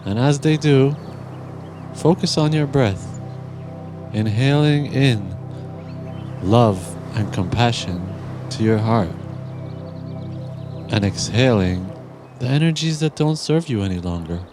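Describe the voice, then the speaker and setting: A man narrating a guided meditation in a gentle, soothing, deliberate manner with long pauses. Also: medium pitch. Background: music.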